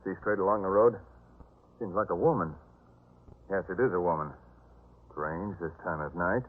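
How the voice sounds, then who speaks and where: An actor playing a trusting junior night sentry in a 1950s radio drama, mild and questioning. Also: male voice, deep voice, normal speed. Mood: watchful, curious. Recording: muffled, band-limited 1950s radio recording.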